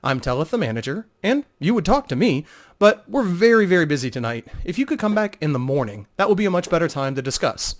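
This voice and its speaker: A courteous young woman running a busy fantasy inn, acted out by a man, speaks in a raised pitch. The manner is welcoming and polite, as in a dramatic storybook reading. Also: very animated, fast.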